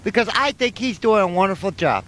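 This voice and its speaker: Male passer-by, high voice, earnest and plain-spoken, faint street noise in an impromptu interview. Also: animated, brisk. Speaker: homeless passer-by being interviewed. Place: outdoors on a city street. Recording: noisy street remote.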